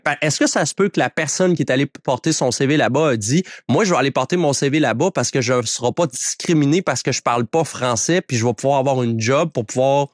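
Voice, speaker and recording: A man's voice: mid-range voice, a little fast, hardly any pauses, no room sound, clean studio sound. A man with a Quebec French accent, a business co-owner answering interview questions with hesitant restarts.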